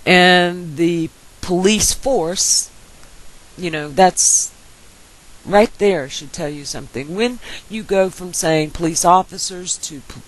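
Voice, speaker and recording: A woman's voice: lowish voice, slow pace, poor audio. A woman with a very nasal voice, talking to her viewers in a casual, meandering vlog monologue. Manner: meandering, speculative talk. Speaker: YouTube commentator replying to viewers.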